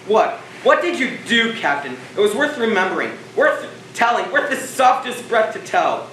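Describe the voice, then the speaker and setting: A man's voice: sits high, sing-song, normal speed, sharp tone, exaggerated voice. Distant, echoey, brooding spaceship captain played by a man. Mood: anguished. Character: brooding spaceship captain facing death, wistful. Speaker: student stage actor. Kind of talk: theatrical stage monologue. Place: school theatre stage. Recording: echoey stage, distant mic, clear background noise.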